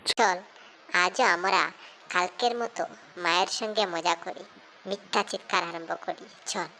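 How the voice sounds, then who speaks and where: A man plays a mischievous young boy from a cartoon village tale. The voice is pitched very high and exclamatory, full of prankish energy, with some background noise. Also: steady tone, a little slow, pushed and bright, exaggerated voice, rough recording. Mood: playful, scheming. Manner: exclamatory, calling out. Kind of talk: cartoon story dialogue.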